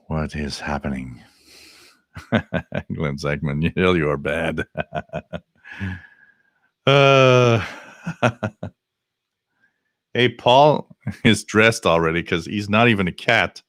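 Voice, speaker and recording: A man's voice: low-pitched, animated, extremely slow, long pauses, fairly bright tone, no room sound, studio quality. A man hosting a live shortwave radio stream, joking, laughing often and reading out frequencies. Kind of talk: casual live-stream chat.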